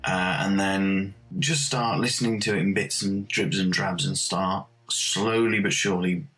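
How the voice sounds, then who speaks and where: A man's voice: deep voice, steady tone, a little slow, a bit bright, faint music behind, low-quality recording. A man, a composer, talking casually in an interview about his own work. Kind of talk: casual behind-the-scenes reminiscence.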